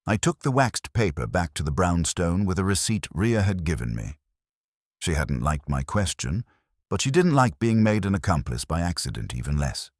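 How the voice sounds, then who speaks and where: A man's voice: sits low, big pitch swings, moderate pace, no room sound, studio quality. A man narrating a scripted first-person detective story.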